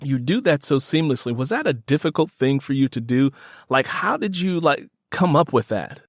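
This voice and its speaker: A man's voice: mid-range voice, animated, normal speed, dry recording, studio quality. A man hosting a casual interview, enthusiastic and emphatic, often repeating his phrases. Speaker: podcast host interviewing an author.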